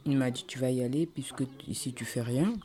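A woman's voice: very deep voice, mellow. A woman from Senegal, telling her life story with frequent hesitations. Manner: halting, with many hesitations. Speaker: interviewee.

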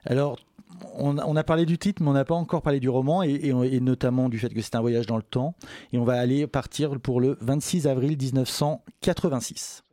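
A man's voice: mid-range voice, steady tone, a little fast, dark tone, dry recording, studio quality. A man interviewing an author in a casual way, often stumbling and repeating words as they go. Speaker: literary interviewer.

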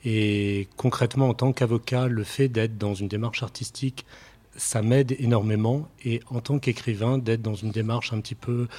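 A man's voice: mid-range voice, steady tone, moderate pace, warm tone. A man, a lawyer and writer, explaining his own work as a guest in a panel discussion.

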